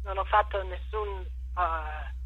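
A woman's voice: fairly low pitch, takes it slowly, husky, lo-fi sound. A woman answering interview questions, explaining ideas in an unscripted, hesitant way and searching for her words. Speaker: interviewed author and thinker.

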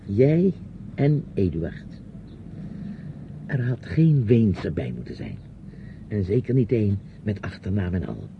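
Probing uncle, animated, dull old recording. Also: male voice, lowish voice, slow pace, creaky, long pauses, background noise, heavily acted. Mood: probing, accusing. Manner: insistent questioning, patronising. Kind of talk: radio drama acting. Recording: dull old radio play recording, music.

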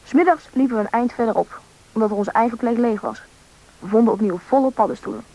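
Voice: medium pitch, fast, gravelly, a little mellow. A performer playing a young boy who narrates his own story in a scripted radio drama. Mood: reflective. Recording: dull, band-limited radio recording.

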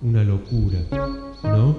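A man's voice: on the low side, steady tone, a little slow, phone-quality sound, lightly acted. A man playing a hesitant, mild patient in improvised comic radio theatre. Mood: uneasy, hesitant. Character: hesitant, mild male patient recounting things to his doctor. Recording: echoey hall, faint music.

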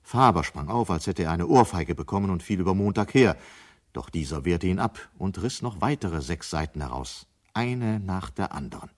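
A man's voice: sits low, expressive, moderate pace. A man narrating a scripted German radio play from the 1970s.

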